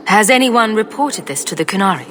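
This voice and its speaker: An actress playing a direct, composed, wry adventurer heroine in a video game. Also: female voice, mid-range voice, expressive, fairly quick, a bit bright, long reverb tail, slight background noise, natural voice. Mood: probing.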